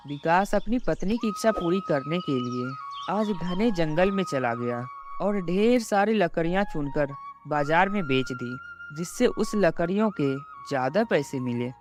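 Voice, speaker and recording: A storyteller narrating a scripted fable for a cartoon. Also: medium pitch. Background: music.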